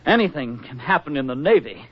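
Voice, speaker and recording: An actor playing a sardonic navy diver with a laconic, dry delivery in a 1940s radio drama. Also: male voice, mid-range voice, big pitch swings, brisk, music in the background, close to an everyday voice. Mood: curt, wry. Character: sardonic, curt male navy diver Wilson in hospital. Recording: dull vintage 1940s radio recording.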